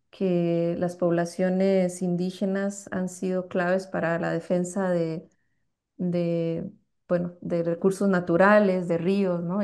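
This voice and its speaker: Conferencista costarricense de voz cálida. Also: female voice, fairly low pitch, darkish tone. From Costa Rica. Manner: thoughtful, searching for words with many fillers. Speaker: guest lecturer. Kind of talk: answering an audience question.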